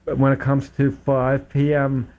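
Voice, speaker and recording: A man's voice: mid-range voice, monotone, unhurried, darkish tone. A man hosting a local public access talk show, talking casually with many fillers and restarts. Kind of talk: casual interview chat. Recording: dull lo-fi public access audio.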